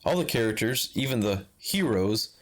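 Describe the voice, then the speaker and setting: A man's voice: lowish voice, big pitch swings, normal speed. A man narrating a scripted comedic video game review in a sardonic, crude joking manner. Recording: loud music behind the voice. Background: loud music.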